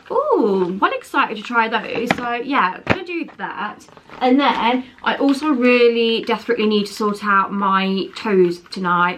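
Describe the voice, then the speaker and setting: A woman's voice: mid-range voice, fairly bright tone, a little hiss or hum. A young woman vlogging at home, chatty and rambling, wheezy from a chest infection. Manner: chatty, rambling, self-correcting. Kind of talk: casual chatty vlog. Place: home, indoors.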